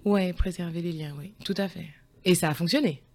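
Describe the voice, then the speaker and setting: A woman's voice: on the low side, a little fast. A woman in her forties, a guest on a podcast, answering thoughtfully with many hesitations and restarts. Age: 40s. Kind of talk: conversational interview.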